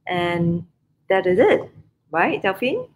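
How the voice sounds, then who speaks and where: A woman's voice: fairly low pitch, sing-song, takes it slowly. A woman in her forties from Singapore with a Singaporean English accent, hosting a casual live-streamed business chat over a video call, upbeat and chatty. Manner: upbeat, chatty, many fillers. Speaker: podcast host. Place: room abroad, indoors, video call. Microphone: webcam on video call.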